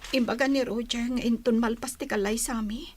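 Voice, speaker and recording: A woman's voice: fairly high pitch, steady tone, a little fast, darkish tone, natural voice. A woman with an Ilocano accent acting a dutiful, homesick young bride in a comedy drama. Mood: sorrowful.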